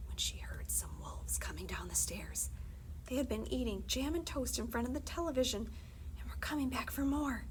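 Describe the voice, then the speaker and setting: A woman reading a children's story aloud with dramatic expression, sometimes dropping to a hush and chatting to young listeners. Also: on the high side, noisy background. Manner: animated, dramatic storyteller, drops to hushed breathy whispers.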